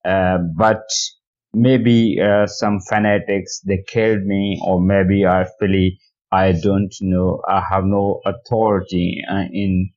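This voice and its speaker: A man with a Pakistani accent giving a personal testimony in halting, repetitive English, searching for words. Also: low voice, level tone, a little mellow. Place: recorded voicemail message. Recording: dull lo-fi voice message.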